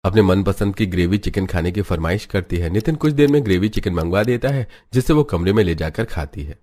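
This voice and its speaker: A man's voice: sits low, expressive, a little fast, dark tone. A man reading scripted storytelling narration for a cartoon family tale.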